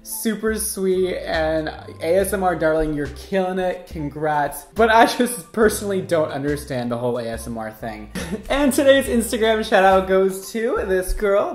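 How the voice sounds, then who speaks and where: A man in his twenties giving casual, exclamatory reaction commentary to camera in a campy, animated manner. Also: on the high side, echoey room. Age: 20s. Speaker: hairdresser and video host. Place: indoor studio with paper backdrop.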